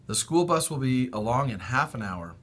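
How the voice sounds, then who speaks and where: A man voicing a brisk, practical farm mother from a storybook, giving instructions. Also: mid-range voice, big pitch swings, fairly bright tone. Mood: brisk, commanding.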